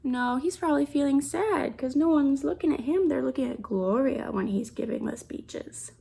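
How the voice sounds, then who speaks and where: A woman's voice: high voice, animated, moderate pace, gravelly, mellow. A woman reading a picture book aloud to children, expressively, with simple asides and questions about the pictures.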